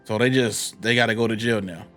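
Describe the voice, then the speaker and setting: A man's voice: fairly low pitch, steady tone, fairly quick, fairly bright tone, faint music behind. A man gaming on stream, giving casual slangy reactions and talking back to the characters. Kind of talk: casual live reaction commentary.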